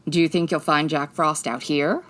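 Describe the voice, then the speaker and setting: Breezy low-voiced lady private eye. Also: steady tone, moderate pace, a bit bright. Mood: wry, dismissive. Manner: breezy, wry, quipping. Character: classy wry female private eye, former model. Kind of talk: 1940s radio detective acting.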